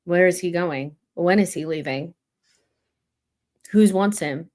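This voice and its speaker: Woman, low voice, blunt, casual football chat host. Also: slow pace, darkish tone. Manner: blunt, pessimistic fan venting.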